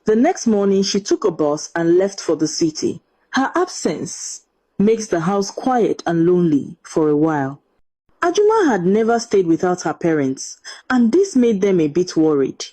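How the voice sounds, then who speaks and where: A woman's voice: on the low side, very animated, normal speed, dark tone. A woman narrating a scripted folktale as a dramatic storyteller.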